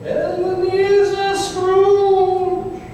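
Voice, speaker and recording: A performer playing an eerie wailing ghost that calls out slowly in an old-time radio play. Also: extremely high pitch, completely flat delivery, dark tone, exaggerated voice. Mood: haunting. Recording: distant echoey hall, noticeable hiss.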